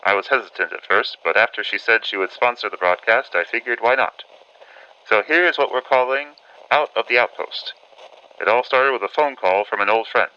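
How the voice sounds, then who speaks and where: An actor playing a wry, reluctant but dutiful 1940s radio correspondent in an audio drama, with old-fashioned announcer phrasing. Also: male voice, mid-range voice, moderate pace, sharp tone, clear, resonant tone, natural voice. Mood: narrating, composed. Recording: dull band-limited audio with music behind.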